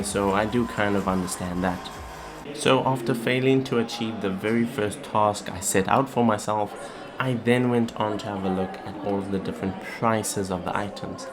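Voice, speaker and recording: A man talking casually as a vlogger, in a chatty, plain-spoken way. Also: lowish voice. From South Africa. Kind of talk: casual vlog talk and voice-over narration. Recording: clearly noisy, ambient background sound.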